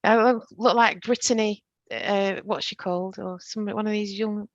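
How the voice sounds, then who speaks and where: A woman's voice: medium pitch, steady tone, moderate pace, lo-fi sound. A middle-aged woman from the north of England with a northern English accent, chatting and joking as a trainer on a video call through a headset. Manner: chatty, self-deprecating, joking. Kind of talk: casual presentation on a video call.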